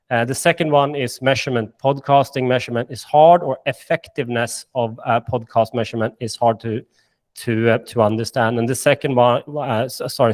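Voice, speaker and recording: A man with a Swedish accent speaks at an ordinary pitch. He presents a business webinar in an upbeat tone and often pauses to restart a sentence. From Sweden and Finland. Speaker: managing director presenting.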